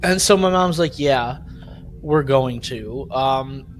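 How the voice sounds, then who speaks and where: A man's voice: mid-range voice, slow, slight background noise. A man chatting casually as a podcast panelist, telling personal stories in a rambling, conversational way. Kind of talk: casual chat, personal storytelling.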